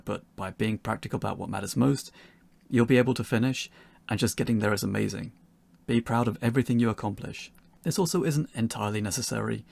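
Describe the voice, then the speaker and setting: A man presenting a pre-recorded instructional talk as a game developer, in a measured, explanatory manner. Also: on the low side, creaky, dry recording.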